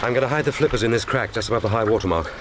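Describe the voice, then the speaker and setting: An actor playing a resolute, wry British secret agent in a radio drama, speaking in a dry, understated British accent. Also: male voice, medium pitch, rapid-fire, very noisy, natural voice. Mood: hushed, purposeful. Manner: dry, understated, musing aloud. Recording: dramatic music bed under voice. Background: loud orchestral film score.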